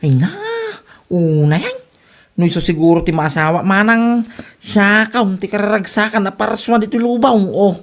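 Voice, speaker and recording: A woman's voice: mid-range voice, normal speed, natural voice. A woman playing a cheerful, smitten younger brother, dreamy and playful, in a comedic audio drama.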